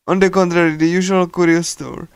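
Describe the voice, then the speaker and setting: Male game streamer, halting casual read-aloud, smooth slightly high voice, close dry sound. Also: dry recording. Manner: hesitant, halting, with small chuckles. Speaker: streamer reading a visual novel aloud.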